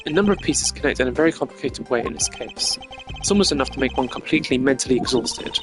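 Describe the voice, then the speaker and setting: A man's voice: mid-range voice, lively intonation, fast talker, close to an everyday voice. Man as a formal, stern investigator, music behind. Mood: pensive. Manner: formal, precise, dryly sarcastic. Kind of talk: hobby voice acting of a video game.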